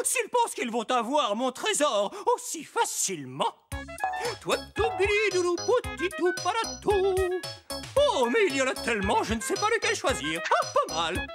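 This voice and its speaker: High-pitched, wildly expressive cartoon dwarf. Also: exaggerated voice. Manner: theatrical, exclamatory. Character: bearded rich male dwarf, dramatic, indignant and crafty. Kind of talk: animated children's cartoon acting.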